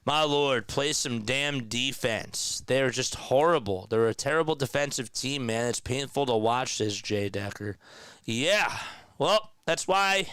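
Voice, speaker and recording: Raspy-throated male basketball play-by-play caller. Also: medium pitch, unhurried, a bit bright, no echo. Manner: conversational, reads and answers chat comments. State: raspy and nasal from a sore throat. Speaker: play-by-play commentator. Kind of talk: live basketball play-by-play commentary.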